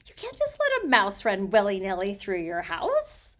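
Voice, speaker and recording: A woman's voice: medium pitch, wildly swooping pitch, normal speed. A woman storyteller voicing an exasperated, no-nonsense mother in an emphatic, scolding manner. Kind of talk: storybook character reading.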